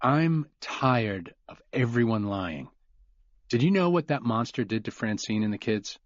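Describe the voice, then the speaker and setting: A man's voice: medium pitch, animated, unhurried, dry recording, lo-fi sound, natural voice. A man voicing a blunt, defiant father with a wry, snarling edge, in an audiobook.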